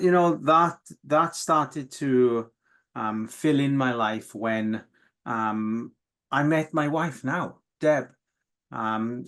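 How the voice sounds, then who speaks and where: Welsh valleys guy, talks slow and thoughtful, lots of ums, grew up speaking welsh. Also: mid-range voice, a bit bright. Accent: South Wales valleys. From Wales. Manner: slow, reflective, with many fillers and restarts. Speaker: guest. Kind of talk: candid, emotional interview.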